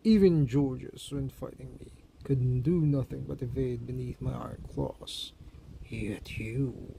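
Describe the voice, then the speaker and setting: A man's voice: mid-range voice, slow, vocal fry, dark tone, a little hiss or hum. A man voicing a proud ancient giant eagle titan, declaiming grandly in indignant disbelief in a story reading. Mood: shocked disbelief.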